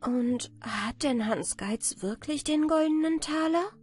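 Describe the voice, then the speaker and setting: A curious young storybook maid, played by a woman narrator, speaking in a high, lifted voice. She sounds timid and questioning, in the manner of a children's fairy tale. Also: animated, slow pace, darkish tone, soft background music. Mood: curious, anxious.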